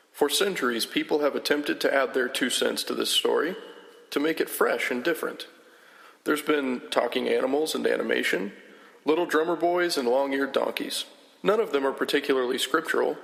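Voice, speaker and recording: Rich: A man narrates a scripted introduction in a measured storytelling manner, on stage before an audience. His voice has a gravelly edge. A long hall reverb trails each line. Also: mid-range voice, a bit bright. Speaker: host.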